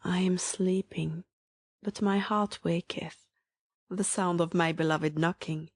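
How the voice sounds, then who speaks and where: A woman playing a yearning bride of ancient scripture, low and breathy, close and dry. She reads the verse slowly and solemnly, as a hushed bedtime audio drama. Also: steady tone, dry recording. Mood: hushed longing. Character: loving, yearning bride of the Song of Songs.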